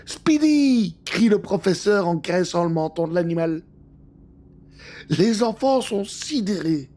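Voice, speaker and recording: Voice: mid-range voice, a little slow, dark tone, a little hiss or hum. A storyteller reading a scripted children's adventure in a theatrical, suspenseful way.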